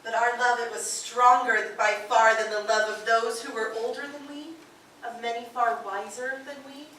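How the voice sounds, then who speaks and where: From some distance in a heavily echoing room, an actress plays a loving young wife. Her voice is bright and on the high side. She recites romantic verse with earnest, dreamy devotion, as in a stage drama. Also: steady tone, moderate pace. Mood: earnest longing. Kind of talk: theatrical dramatic reading of love poems. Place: live performance in a radio station's performance room. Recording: very echoey live room, distant mic, faint noise.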